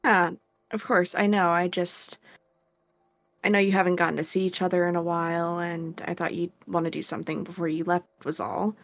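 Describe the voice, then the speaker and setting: A woman plays a young sister in an audio drama, sarcastic yet caring. Her voice sits a little low for a woman, and her delivery is natural and conversational. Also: level tone, normal speed, no room sound, natural voice. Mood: gentle, earnest. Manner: playful, teasing, sarcastic. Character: teasing, caring teenage or young adult sister.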